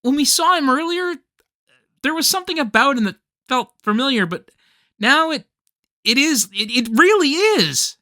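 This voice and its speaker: A starstruck, earnest fan, played by a woman, with a bright, lively voice. The delivery is gushing and halting, in radio play style. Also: high-pitched, no echo, clean studio sound, full character voice. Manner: stammering, gushing.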